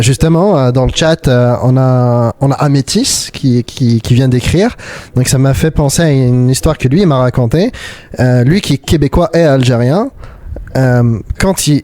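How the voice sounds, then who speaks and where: A man's voice: medium pitch, dark tone, dry recording. A young man hosting a podcast, talking in a thoughtful, casual way and often restarting his sentences. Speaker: podcast host. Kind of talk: casual chat.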